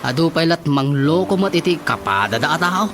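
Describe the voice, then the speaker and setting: A man's voice: on the high side, expressive, moderate pace, music in the background. A man with an Ilocano accent playing a worried, chatty villager in a radio drama.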